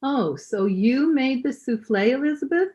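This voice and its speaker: Catty society lady for radio comedy female actor, high voice, sugary and condescending, slightly muffled call audio. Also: animated, normal speed, darkish tone. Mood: feigned sweetness. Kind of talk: old-time radio sitcom acting. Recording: dull video call audio.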